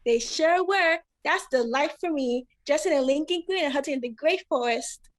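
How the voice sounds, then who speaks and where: A woman playing an eager, excitable cartoon friend in a radio play, speaking emphatically. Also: high-pitched, moderate pace, close to an everyday voice. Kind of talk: 1940s radio play acting.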